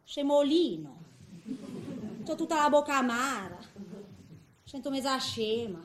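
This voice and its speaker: A woman's voice: high voice, lively intonation, unhurried, echoey room, a little hiss or hum. An actress playing a frail, bewildered eighty-year-old grandmother who rambles to herself in a theatrical monologue. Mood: groggy, confused.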